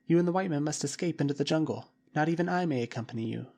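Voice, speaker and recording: A man's voice: on the high side, very fast, creaky, warm tone. A man voicing an earnest young boy who urgently warns and pleads.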